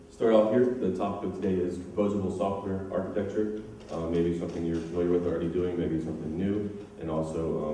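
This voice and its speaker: American male tech speaker, deep mellow voice, relaxed and chatty, recorded from afar in a big echoey hall. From United States. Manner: relaxed, chatty, frequent ums and uhs. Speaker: conference speaker. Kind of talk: technical conference talk. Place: large conference hall. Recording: echoey hall, distant mic, faint noise.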